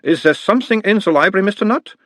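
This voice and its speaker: A man's voice: sits high, expressive, quick pace, a bit bright, no room sound, clean studio sound, pitched above the performer's own voice. A man voicing an orc who plays his own analyst, asking mild, coaxing questions in an Uberwaldian accent.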